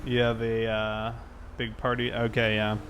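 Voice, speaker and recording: A man's voice: on the low side, monotone, unhurried, a bit bright, slight background noise. A man chatting casually on a livestream while playing a game. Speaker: livestreamer playing a police officer. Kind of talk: casual livestream chat over gameplay.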